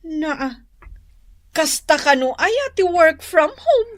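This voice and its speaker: High, slow-drawling suspicious Ilocano wife. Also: female voice, big pitch swings, no echo, full character voice. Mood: curious, accepting. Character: suspicious, nagging wife keeping watch on her husband. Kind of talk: Ilocano radio drama acting.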